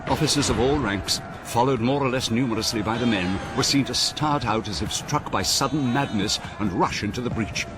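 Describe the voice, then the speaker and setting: An actor playing a grave, impassioned historian who chronicles a siege in solemn, measured, oratorical radio narration. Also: male voice, medium pitch, noisy background, close to an everyday voice. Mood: urgent, grand. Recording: radio drama with music behind.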